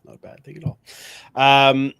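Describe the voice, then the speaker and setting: An easygoing man hosts a casual sports podcast. His voice sits at a middle pitch, and he chuckles readily and repeats himself as he chats. The sound is close and dry. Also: monotone, normal speed, vocal fry, fairly bright tone, clean tone, no room sound, poor audio. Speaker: podcast host.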